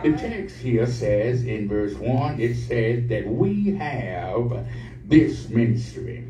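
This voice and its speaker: A man's voice: expressive, slow pace, warm tone, a little hiss or hum. A middle-aged man preaching a sermon at the pulpit, building up in call-and-response style as the congregation answers. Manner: call-and-response preaching, rising in pitch and fervor. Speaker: guest preacher. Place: large church sanctuary. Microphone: pulpit mic, filmed from the pews. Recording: echoey church, distant, congregation responding. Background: congregation calling back.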